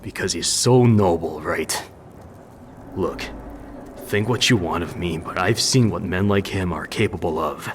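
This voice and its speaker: An actor playing a jaded, sardonic war veteran and brewer in a fantasy video game, dry and wistful. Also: male voice, low voice, unhurried, slight background noise. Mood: bitter.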